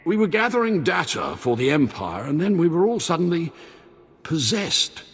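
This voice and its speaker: In long hall reverb, a grandiose yet anxious pretend Sith lord for a video game. The voice is very rough and animated, theatrical and prone to falter. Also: male voice, fairly high pitch, normal speed, heavily acted. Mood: anxious, scheming. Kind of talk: video game cutscene acting.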